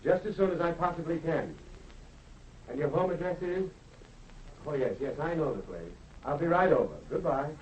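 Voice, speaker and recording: A man playing kindly middle-aged family doctor, unhurried manner, old-time radio acting, muffled with faint hiss. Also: on the high side, animated, lightly acted. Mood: businesslike. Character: genial middle-aged small-town doctor and father. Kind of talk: 1930s radio drama acting. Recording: muffled, hissy 1930s radio recording.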